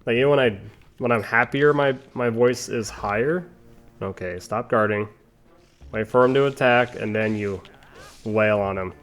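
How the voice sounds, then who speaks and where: A man's voice: medium pitch, moderate pace, fairly bright tone, faint music behind. A man giving casual, rambling commentary over a video game playthrough, chatting with playful asides. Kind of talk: casual gameplay commentary with tangents.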